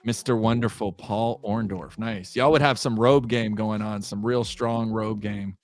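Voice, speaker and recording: A man's voice: lowish voice, fairly even intonation, measured pace, soft background music. A man hosting a casual livestream, upbeat and reading out viewer questions. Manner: upbeat, reads viewer comments aloud. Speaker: livestream host.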